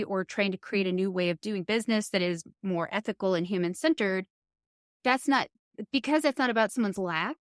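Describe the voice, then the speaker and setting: A woman's voice: mid-range voice, no echo. Thoughtful woman hosting, dry sound. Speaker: podcast host. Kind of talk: conversational interviewing.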